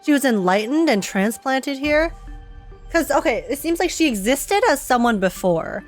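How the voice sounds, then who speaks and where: A woman's voice: sits high, lively intonation, moderate pace, faint music behind. A woman gaming streamer giving casual reaction commentary, slangy and thinking aloud.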